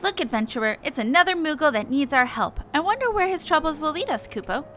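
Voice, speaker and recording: A woman's voice: high voice, big pitch swings, fast talker, bright, forceful tone, exaggerated voice. A woman voicing a boastful, gallant little male moogle adventurer from a video game, with a pompous manner. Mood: curious. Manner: pompous, florid heroic speech. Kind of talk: reading game dialogue in character.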